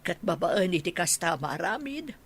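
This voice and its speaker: A woman playing a protective, testing mother of a grown son in a radio drama, with a husky voice and an Ilocano accent. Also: fairly low pitch, sing-song, normal speed, full character voice. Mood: firm, scheming.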